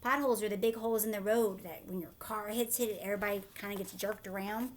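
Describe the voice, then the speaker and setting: A woman teacher reading a picture book aloud to children, expressive, with explanatory asides and questions. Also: medium pitch, fast talker, very noisy.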